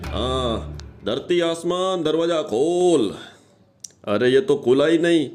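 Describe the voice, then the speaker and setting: A man's voice: fairly high pitch, lively intonation, slow pace, darkish tone, faint music behind. An actor voicing a greedy middle-aged male moneylender in a cartoon, blustering and scheming. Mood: frantic. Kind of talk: cartoon voice acting.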